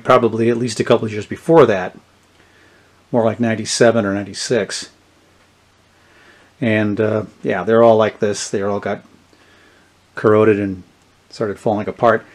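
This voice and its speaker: A middle-aged man gives a casual, unscripted product demonstration, haltingly thinking aloud as he goes. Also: lowish voice, little pitch movement. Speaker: presenter of a vintage electronics channel. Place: indoors, small tabletop product-shooting setup.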